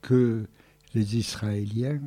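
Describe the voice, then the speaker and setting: A man joining a radio talk discussion, speaking hesitantly with many pauses and restarts. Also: fairly low pitch, fairly even intonation, mellow.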